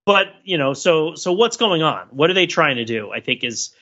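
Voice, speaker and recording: Male panelist, fairly high and forceful, very lively colloquial delivery for comic analysis, slightly muffled recording. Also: moderate pace. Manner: animated, emphatic, colloquial. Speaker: podcast panelist.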